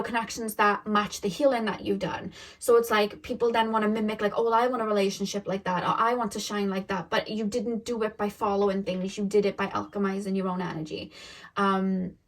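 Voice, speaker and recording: A young woman talks through a tarot reading in a loose, casual way, circling her points with lots of fillers and restarts. Her voice sits at an ordinary middle pitch and turns a little shaky as she goes. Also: level tone, brisk. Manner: rambling, many fillers and self-corrections. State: voice getting shaky. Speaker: tarot reader.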